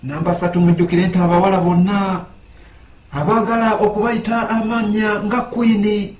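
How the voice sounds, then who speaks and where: High-voiced man narrating a countdown. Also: level tone, moderate pace, roomy sound, music in the background. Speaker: host.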